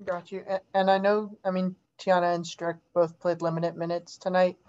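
A woman sports reporter puts questions to a coach, her voice lowish and level with little rise and fall. She hesitates often with fillers, and her audio comes through slightly dull and muffled from a remote connection. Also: flat delivery, normal speed, a little mellow. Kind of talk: press conference questions.

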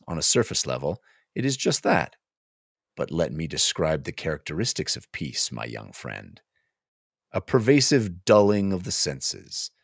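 A middle-aged man with a very low voice talks on a book podcast. The sound is dry and close, as from a boom microphone in a small room. He moves between discussion and expressive reading aloud. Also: no room sound. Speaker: podcast co-host. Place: small home office, indoors. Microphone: close podcast microphone on a boom arm.

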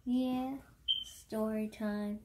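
A woman's voice: fairly high pitch, level tone, very slow, background noise. A girl chatting with her mother at bedtime, answering in short, giggly bits, recorded on a phone in bed. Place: bedroom, in bed indoors. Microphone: phone camera at arm's length.

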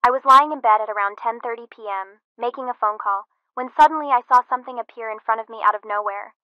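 A woman's voice: a little high, quick pace, dry recording, dull audio. A woman playing an anonymous eyewitness giving scripted testimony through a radio-like filter. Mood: tense recounting.

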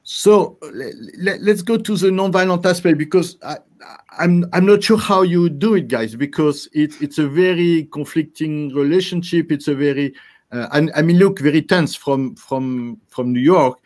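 A man's voice: on the high side, moderate pace, a little mellow. Older man hosting a video call. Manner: hesitant, many fillers and restarts. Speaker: host. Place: office with posters behind.

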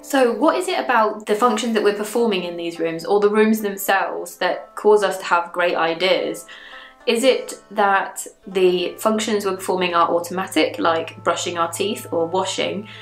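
A young woman musing aloud to camera as a photography vlogger, recorded in a small bathroom. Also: mid-range voice. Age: young adult. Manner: thoughtful, musing, asks questions aloud. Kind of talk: casual reflective talk to camera. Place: small tiled bathroom, indoors. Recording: roomy small-bathroom echo.

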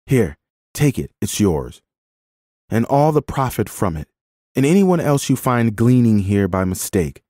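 A man's voice: medium pitch, sing-song, unhurried, warm tone, dry recording, studio quality, voice pushed up. A man voicing a firm, compassionate, pious woman who speaks earnestly in a dramatised story. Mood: earnest appeal. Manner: earnest, righteous, exhorting.

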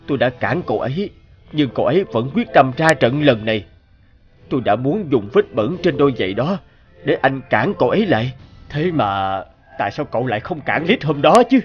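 Caring army officer male actor, animated drama: subdued, sorrowful, regretful, music underneath. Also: mid-range voice, highs cut off, close to an everyday voice.

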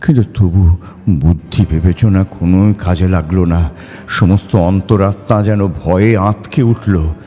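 Very deep, slow male storyteller. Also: warm tone. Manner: suspenseful, deliberate pauses and repeats. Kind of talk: dramatic scripted ghost story narration. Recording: long hall reverb, music behind.

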